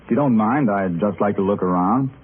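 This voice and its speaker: An actor playing a polite, cultivated doctor with formal manners in an old radio drama. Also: male voice, fairly low pitch, brisk, breathy edge. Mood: polite, curious. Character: polite, curious male doctor, cultivated chess enthusiast. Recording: noisy, narrow-band old radio recording.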